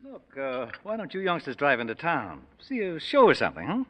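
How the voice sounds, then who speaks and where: Casting: fast-talking, persuasive middle-aged schemer, husky and animated, old-time radio thriller. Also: male voice, fairly high pitch, exaggerated voice. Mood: genial, fatherly. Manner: urgent, persuasive. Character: scheming middle-aged embezzler, outwardly fatherly, urgent and smooth-talking.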